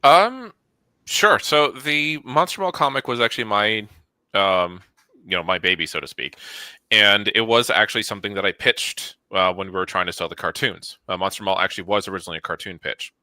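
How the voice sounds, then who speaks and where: A man's voice: on the low side, brisk, sharp tone, no echo. A middle-aged man explaining his work as an interview guest, informal and enthusiastic. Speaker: interview guest, studio manager. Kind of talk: casual explanatory chat.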